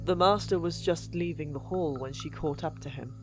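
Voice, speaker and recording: A woman narrating a fantasy audiobook in an expressive, deliberate storytelling manner. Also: sits low, rough recording. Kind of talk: dramatic scripted fiction narration. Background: background music.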